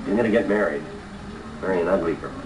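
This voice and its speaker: A man's voice: deep voice, quick pace, distant mic, noisy background, lightly acted. A man playing a brash, cynical, crude cop in a film comedy, giving blunt advice, on echoey playback. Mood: cocky, cynical. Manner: blunt, advising. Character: brash, crude, cynical male cop, worldly senior partner. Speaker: actor playing a police patrolman. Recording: echoey TV playback from afar, music behind.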